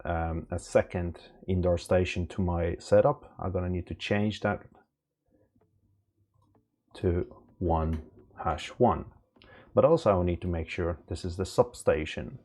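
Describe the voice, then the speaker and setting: Deep, gravelly man explaining step by step. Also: dark tone. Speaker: technical instructor. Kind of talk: unscripted step-by-step software tutorial.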